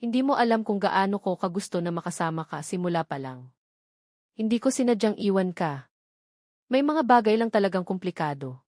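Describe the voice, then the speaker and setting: A woman's voice: mid-range voice, animated, fairly quick, a little mellow, no room sound, clean studio sound, close to an everyday voice. A woman narrator voicing a remorseful, evasive man with a Filipino accent, pleading hesitantly in an audio romance drama. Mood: pained.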